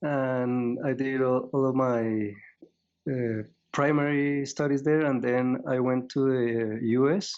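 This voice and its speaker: A man from Colombia speaking as an interview guest, modest and soft-spoken, often repeating words as he talks. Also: mid-range voice, smooth, lo-fi sound. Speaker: guest, tea adviser. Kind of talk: casual interview.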